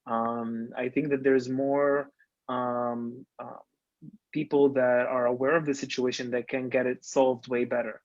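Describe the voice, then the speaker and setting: A man's voice: medium pitch, level tone, measured pace, no echo. A man in his twenties from Lebanon, a podcast guest, speaking with frequent ums and restarts and some non-native grammar. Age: 20s. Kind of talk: casual interview talk. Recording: dull, dry lo-fi sound.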